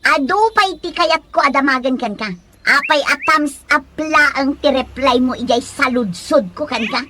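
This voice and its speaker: A woman playing a nagging, quick-tempered Ilocano wife in a comedy radio drama, theatrical and whining, with an Ilocano accent. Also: sits high, natural voice. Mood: exasperated, nagging. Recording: clearly noisy recording.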